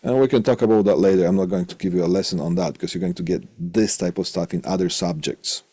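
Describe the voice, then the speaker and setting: A man teaching as a college instructor in an informal technical lecture, deliberate, often repeating phrases and posing questions to himself. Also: deep voice, fairly even intonation, mellow, dry recording.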